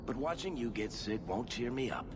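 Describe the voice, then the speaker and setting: A man's voice: mid-range voice, animated, moderate pace, noisy background, natural voice. A man playing a sardonic, loyal dwarf rogue who wisecracks, in a video game cutscene. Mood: wry.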